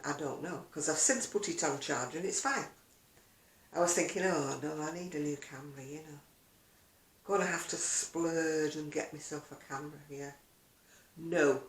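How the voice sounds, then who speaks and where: An older woman chatting casually to camera as a video blogger, rambling along with self-deprecating asides in an echoey room. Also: deep voice. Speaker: video blogger and craft seller.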